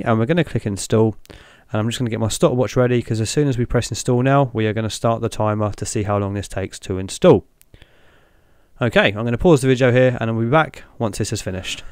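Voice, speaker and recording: A young man hosting a tech channel, talking through each step in a relaxed way as he clicks through an install on screen. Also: mid-range voice, smooth, no room sound, studio quality. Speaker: tech video host. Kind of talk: screen-recorded walkthrough narration.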